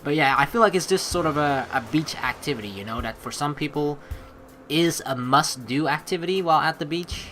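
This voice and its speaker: A young man hosting a let's play, reading the story aloud and adding expressive, rambling commentary. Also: fairly high pitch, fairly quick, soft background music.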